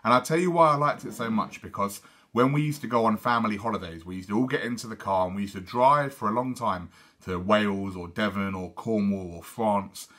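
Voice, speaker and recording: A British man, a teacher, reading a storybook aloud to children in an animated way. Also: lowish voice, a little fast.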